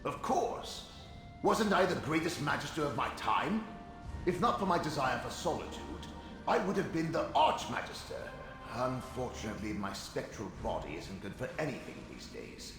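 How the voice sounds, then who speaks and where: Haughty wizard ghost in cavernous echo. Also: male voice, medium pitch, very animated, moderate pace. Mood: boastful. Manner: haughty, lecturing. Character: proud, reclusive ghost of an old Telvanni wizard. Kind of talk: video game character acting. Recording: cavernous echo with music behind.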